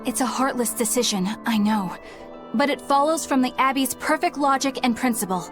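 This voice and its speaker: A woman's voice: high-pitched, moderate pace, music in the background, lightly acted. A woman voicing an earnest, proper young exorcist. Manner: formal, earnest.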